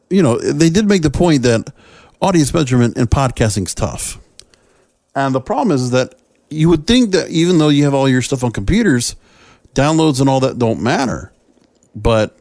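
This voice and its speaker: A man's voice: mid-range voice, darkish tone, no room sound, studio quality. A man hosting a solo podcast, giving opinionated commentary in a conversational, emphatic way and addressing listeners directly. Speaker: podcast host.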